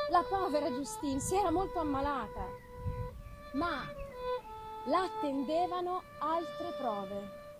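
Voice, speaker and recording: A woman voicing an affectionate, anxious young gentlewoman in a theatrical, unhurried reading of a letter from a gothic novel. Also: high voice, poor audio. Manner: expressive, unhurried dramatic delivery with pauses. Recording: live music behind the voice.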